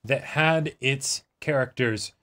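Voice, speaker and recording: Mid-pitched man chatting casually. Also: slow, fairly bright tone. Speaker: co-host of an anime reaction show. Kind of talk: casual discussion of an anime episode.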